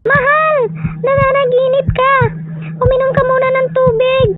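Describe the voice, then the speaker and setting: A woman's voice: very high-pitched, little pitch movement, normal speed, phone-quality sound, loud music behind the voice. A woman playing a worried, caring young wife in an amateur radio drama. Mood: urgent.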